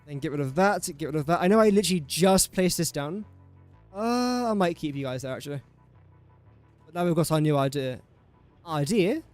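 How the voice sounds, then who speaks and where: A man's voice: fairly high pitch, lively intonation, fairly quick, long pauses, darkish tone, faint music behind. A young man from England with an English accent, chatting casually while streaming a building game and talking to the pieces he places in a self-deprecating way. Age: young adult. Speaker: gaming streamer. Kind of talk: casual live gameplay commentary.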